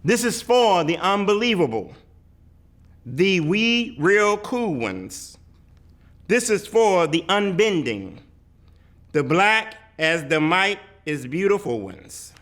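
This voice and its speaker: Slow, expressive man reading poetry aloud. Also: high-pitched. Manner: deliberate, emphatic phrasing with weighty pauses. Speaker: reader of a children's picture book. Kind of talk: dramatic poetry read-aloud.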